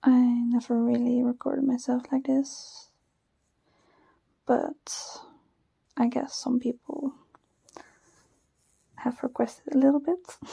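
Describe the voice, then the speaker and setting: A shy young Dutch-accented woman talks in a soft, breathy whisper close to the mic, her voice dark and gravelly at the edges. She speaks slowly and hesitantly, with nervous giggles and a flat, even tone. The recording is dry and intimate. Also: on the high side, dry recording. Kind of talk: whispered personal ramble, ASMR-like. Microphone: close microphone.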